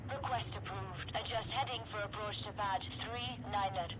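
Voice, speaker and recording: A woman's voice: mid-range voice, moderate pace, close to an everyday voice. A woman playing a polite, formal space station traffic controller giving landing announcements in a game. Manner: formal, procedural. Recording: loud game noise over voice.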